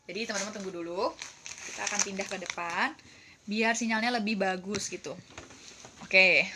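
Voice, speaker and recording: A woman's voice: medium pitch, steady tone, moderate pace, pushed and bright, slight background noise. An Indonesian-accented woman presenting as a team leader, explaining casually and chattily while often checking in with listeners.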